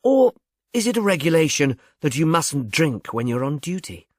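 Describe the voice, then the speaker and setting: A man's voice: a little high, sing-song, moderate pace, no room sound, clean studio sound, voice pushed up. Man voicing a sardonic elderly society mother. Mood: wry, poised. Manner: tartly amused, condescending.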